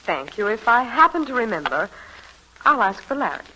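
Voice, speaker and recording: A woman's voice: a little high, very animated, normal speed. An actress playing a prim, coyly aloof young woman in 1940s radio style, polite and clipped. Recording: noisy vintage 1940s radio recording.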